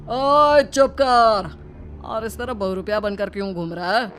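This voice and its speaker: A cartoon street thug acted out by a woman with a loud, blustering delivery. He is a bullying robber who barks threats in a medium-pitched voice, with music playing behind. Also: steady tone, measured pace, natural voice. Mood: threatening, blustering. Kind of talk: cartoon story acting.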